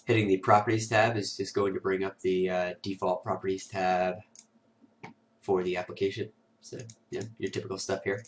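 A man with a cold talks through a software demo in a low, flat, raspy voice, hoarse and sniffly. His delivery is casual and loose, padded with "uh" and "um". He sits a short way from the mic. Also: moderate pace, recorded from a distance, slight background noise. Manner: casual, filler-heavy walkthrough with many "uh" and "um". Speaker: host of a security tutorial show. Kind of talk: screen-recorded software tutorial.

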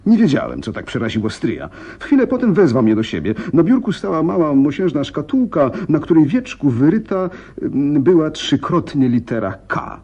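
An actor in a radio drama playing a frightened, helpless young gentleman, telling his story hesitantly and dramatically, with pauses. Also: a little high, big pitch swings, husky, muffled recording, exaggerated voice. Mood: uneasy, narrating.

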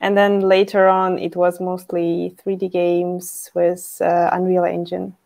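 A woman's voice: on the low side, monotone, a little slow, vocal fry, a little mellow. A young woman from Ukraine, a guest developer, chatting in a friendly easygoing way on a video call from home. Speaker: podcast guest, Python developer. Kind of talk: casual interview chat. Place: home room, indoors.

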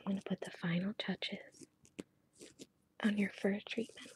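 A woman speaking in a breathy, gentle, unhurried whisper as a salon pedicurist in an ASMR roleplay, pampering a client. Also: mid-range voice, fairly even intonation. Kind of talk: soft-spoken ASMR roleplay.

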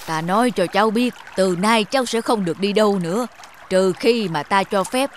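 A man's voice: sits high, moderate pace, a little hiss or hum, natural voice. A man as a stern old mountain. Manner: grumbling, commanding, authoritative. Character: stern, stubborn, protective old male mountain. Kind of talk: dubbed children's cartoon fable acting.

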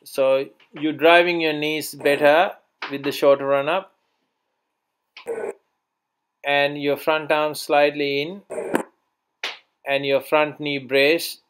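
A man coaching bowling, calmly pointing out faults and fixes in a bowler's action. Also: a little high, slow, slight background noise. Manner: instructive, pointing out technique faults. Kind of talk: coaching commentary over training footage.

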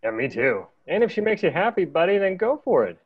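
A man reads a hapless young guy who sounds sheepish and apologetic. His pitch moves up and down in a lively way. The recording sounds dull and lo-fi. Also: brisk, breathy edge, full character voice. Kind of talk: table read.

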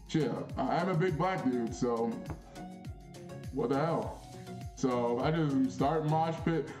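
A young man vlogging in a casual, animated, slangy storytelling way, repeating phrases for emphasis in a small bedroom. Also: a little high, roomy sound. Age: young adult.

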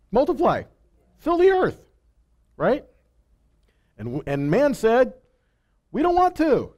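Male Bible teacher, middle-aged, slightly high register, question-driven sermon delivery on a headset mic. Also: darkish tone. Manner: rhetorical questions with frequent check-ins like "okay?" and "right?". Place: indoor stage in a meeting hall.

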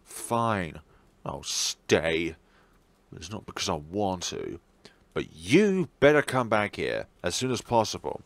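A man's voice: sits low, sing-song, slow pace, no echo, exaggerated voice. A man voicing a dry-witted, exasperated teenage schoolboy in a visual novel, scolding and easily flustered. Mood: grudging, scolding.

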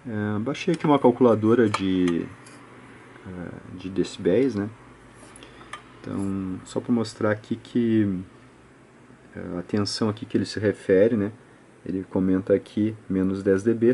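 A Brazilian man walks through a technical procedure at an indoor workbench. His voice is relaxed and soft-edged, on the low side. He talks haltingly and informally, with noticeable background noise around him. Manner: halting, often adds short check-in tags. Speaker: electronics repair channel host. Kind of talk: informal hands-on technical tutorial. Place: indoor home electronics workbench.

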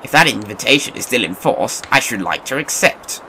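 An actor playing a reformed elderly miser, now a kindly and apologetic gentleman, with formal old-fashioned courtesy in an audio drama. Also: mid-range voice, expressive, brisk, slight background noise, poor audio. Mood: warm, repentant.

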